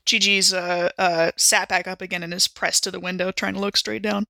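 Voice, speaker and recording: A woman takes part in a tabletop role-playing game as a player. Her voice is bright and forward, recorded close and dry. She moves casually between speaking as her character and describing what that character does. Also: lowish voice, flat delivery, brisk, creaky, no room sound. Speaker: player in an actual-play game.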